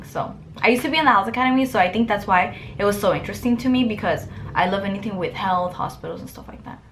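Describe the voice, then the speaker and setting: A woman's voice: mid-range voice, a bit bright, a little hiss or hum. A young woman from Mexico, Spanish her first language, chatting casually to camera in her bedroom and answering get-to-know-me questions with lots of "like" fillers. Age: young adult. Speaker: vlogger. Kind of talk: casual Q&A chat. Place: bedroom, indoors. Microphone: camera close up.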